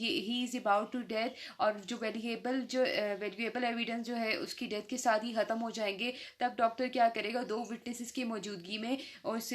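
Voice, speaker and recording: A woman with a Pakistani accent giving an explanatory law lesson, mixing in English terms and often pausing to rephrase. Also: a little high. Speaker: law lecturer.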